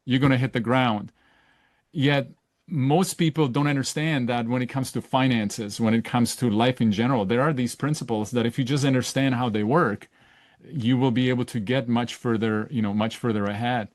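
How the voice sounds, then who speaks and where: A man's voice: medium pitch, steady tone, normal speed, no echo, clean studio sound. A middle-aged man from Czechoslovakia, hosting a podcast interview in a casual, searching way. He often restarts his sentences and checks in with "right?". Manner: searching, self-correcting, with frequent "right?" tags. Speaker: podcast host.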